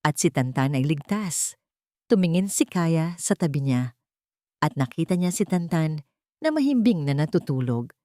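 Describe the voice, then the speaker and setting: A woman reading a romantic drama story in a steady, dramatic storytelling manner. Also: sits low, moderate pace, dry recording, clean studio sound. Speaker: narrator. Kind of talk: scripted story narration.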